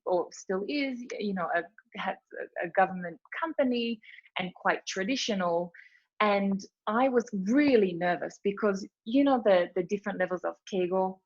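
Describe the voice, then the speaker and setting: Chatty Australian woman presenting. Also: mid-range voice. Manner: chatty, lots of fillers, animated storytelling. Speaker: webinar presenter. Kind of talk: casual presentation talk. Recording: dull lo-fi webinar audio.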